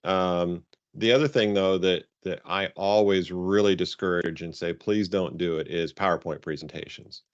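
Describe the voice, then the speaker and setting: A man giving informal guidance to coaches on a conference call, halting and rephrasing as he goes. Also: deep voice, fairly even intonation. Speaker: robotics coaching advisor leading a conference call. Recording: dull, roomy conference call audio.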